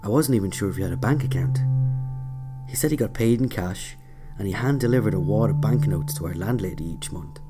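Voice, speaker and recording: A man narrating a scripted autobiographical story in a measured, dry way. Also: fairly low pitch, lively intonation. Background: loud music.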